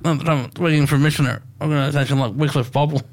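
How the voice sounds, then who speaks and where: An Australian man in his thirties, from Queensland, answering a radio host in short, soft-spoken replies. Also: a little high, steady tone, very fast. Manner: soft-spoken, brief answers with shy laughs. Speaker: radio show guest. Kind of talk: casual radio chat.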